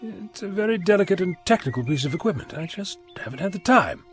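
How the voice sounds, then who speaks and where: An eccentric genius time traveller acted by a man in an audio drama. He is breezy, wordy and wry, with a very lively pitch, and faint music plays behind him. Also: fast. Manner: wordy, breezy, gently lecturing.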